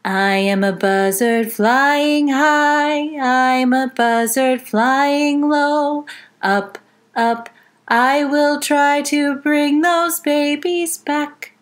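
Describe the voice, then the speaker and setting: A woman's voice: a little high, expressive, slow pace, a bit bright, faint music behind. A woman voicing a proud male buzzard, chief of the flying birds, who sings a chant. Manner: sung chant.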